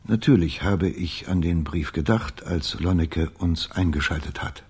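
An actor playing an evasive, self-justifying agency boss in a radio crime drama, measured and defensive. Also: male voice, low-pitched, a little mellow, slightly rough, heavily acted. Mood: contrite, measured. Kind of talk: German radio play acting.

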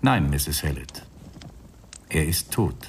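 An actor playing a composed, dogged police inspector in a radio crime drama, speaking politely in measured phrases. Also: male voice, very deep voice, big pitch swings, exaggerated voice. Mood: grave. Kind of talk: 1990 German radio crime drama acting. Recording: radio play with clear background noise.

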